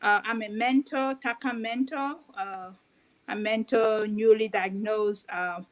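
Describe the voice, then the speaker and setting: A woman's voice: medium pitch, fairly even intonation, a little slow, rough recording. A woman from Cameroon introducing herself as a guest on a video call, with many hesitations. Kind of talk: online talk show guest.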